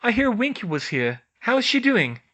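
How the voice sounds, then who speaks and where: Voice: mid-range voice, big pitch swings, moderate pace, fairly bright tone, pitched above the performer's own voice. A reader voicing Hermione, an earnest, caring young witch. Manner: earnest, concerned.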